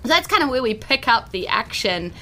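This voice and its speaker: A woman with a New Zealand accent hosting a podcast as dungeon master in a lively, casual style. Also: fairly high pitch, fairly quick, soft background music. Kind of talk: lively casual podcast intro and game recap.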